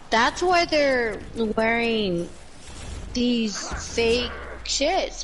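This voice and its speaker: A woman's voice: fairly high pitch, background noise. An American woman hosting a podcast, giving her opinions in a blunt, emphatic, profane casual chat. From United States. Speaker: podcast host.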